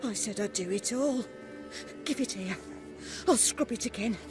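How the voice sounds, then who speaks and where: A woman's voice: medium pitch, normal speed, music in the background, close to an everyday voice. A woman playing a deranged, servile, tormented mother in a video game, babbling, muttering and pleading. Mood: frantic pleading. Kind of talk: video game cutscene acting.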